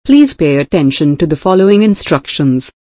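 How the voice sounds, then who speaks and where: A woman's voice: sits low, very animated, moderate pace, mellow, dry recording, studio quality. A woman's synthetic-sounding voice reading a formal scripted emergency broadcast announcement.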